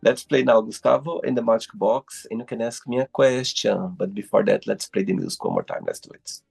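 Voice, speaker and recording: Gravelly-voiced Brazilian man hosting. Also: lowish voice, big pitch swings, a little fast, darkish tone, faint music behind. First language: Portuguese. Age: 30s. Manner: effusive, repeats his praise. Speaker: host. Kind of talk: casual interview chat.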